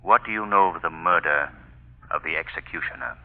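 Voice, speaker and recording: A man's voice: low-pitched, brisk, natural voice. Man acting eerie, commanding unseen avenger, tinny radio. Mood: ominous, taunting. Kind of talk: 1930s-40s radio mystery acting. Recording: tinny narrow-band vintage radio recording.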